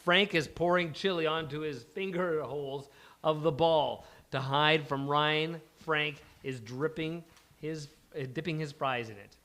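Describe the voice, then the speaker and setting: Male stage-direction reader for a live comedy script, voice on the higher side. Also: unhurried, sharp tone. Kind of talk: scripted narration at a live comedy table read.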